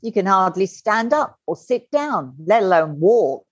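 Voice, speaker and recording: A middle-aged woman telling her personal story as a podcast guest, candid and animated, acting out the voices she quotes. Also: mid-range voice, measured pace, dry recording. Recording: dull lo-fi dry sound.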